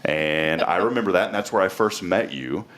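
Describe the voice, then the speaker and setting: Upbeat male host, very deep voice, folksy chatter. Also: moderate pace, fairly bright tone. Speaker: morning show host. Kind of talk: casual morning show chat.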